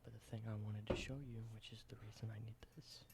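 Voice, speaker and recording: A man whispers close to the mic in a low, steady voice that barely rises or falls. He explains ordinary objects slowly and simply, and a clear hiss runs under the recording. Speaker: ASMR creator. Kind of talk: whispered ASMR roleplay.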